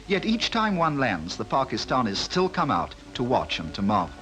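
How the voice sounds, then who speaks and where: A man's voice: medium pitch, wildly swooping pitch, normal speed, sharp tone. A man with a British accent giving formal, scripted 1950s newsreel narration. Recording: archive newsreel voice-over with music behind.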